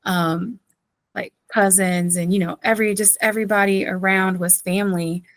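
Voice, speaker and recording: Woman pastor from southeastern North Carolina, a podcast guest; easy storyteller who laughs often, mid-pitched voice, dry close sound. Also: fairly even intonation, moderate pace, dry recording. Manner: chatty, laughs often, many fillers. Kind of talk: casual interview storytelling.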